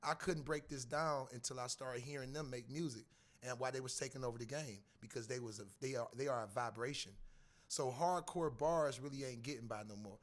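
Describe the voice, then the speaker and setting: Raspy, animated man on a podcast. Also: a little fast. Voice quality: raspy, squeaky. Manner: animated, acts out voices and rap lines. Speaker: guest rapper. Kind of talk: casual podcast chat.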